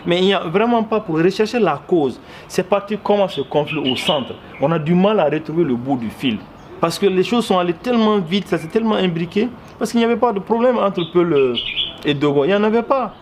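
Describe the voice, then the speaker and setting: A man's voice: high voice, brisk. A man with a Malian accent giving earnest, explanatory interview answers, often repeating words for emphasis. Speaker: interviewee, commentator. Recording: clearly noisy background ambience.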